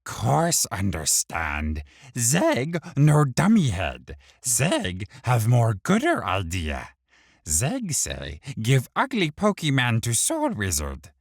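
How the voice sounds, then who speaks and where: Slow, swooping little imp. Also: male voice, mid-range voice, huge pitch swings, vocal fry, no echo, studio quality, pitched above the performer's own voice, full character voice. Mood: indignant. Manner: broken caveman-like grammar, grumbling. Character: tiny red male imp, childish, cheeky, stubborn. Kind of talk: audiobook character voice.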